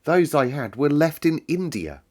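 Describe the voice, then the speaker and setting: A man's voice: a little high, sing-song, a little slow, darkish tone, voice pushed up. Storybook role: man as lonely, contrary little girl, plain short replies. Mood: flat, defensive.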